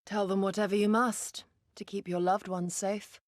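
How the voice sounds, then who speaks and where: An actress playing a proud, resolute retainer of a lord, speaking formally and earnestly in a video game cutscene. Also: female voice, mid-range voice, flat delivery, moderate pace, no room sound, clean studio sound, lightly acted. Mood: solemn. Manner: formal, archaic, earnest diction.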